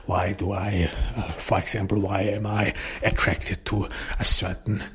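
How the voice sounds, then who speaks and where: Halting low-voiced German man, husky. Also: level tone, fairly bright tone. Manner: halting, many fillers and restarts. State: recovering voice after illness. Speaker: podcast host and author. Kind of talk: casual solo podcast talk.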